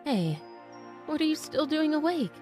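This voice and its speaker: A woman plays a young widow and mother in an old-time radio drama. Her voice sits a little high. She speaks gently and earnestly, with a slightly hesitant turn of phrase. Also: sing-song, moderate pace, loud music behind the voice. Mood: soft, reassuring.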